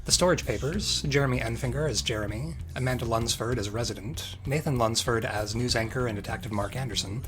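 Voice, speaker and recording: A man's voice: mid-range voice, fairly quick, hardly any pauses, fairly bright tone, slight background noise, rough recording. A man reading scripted end credits as the announcer of an audio drama. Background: music.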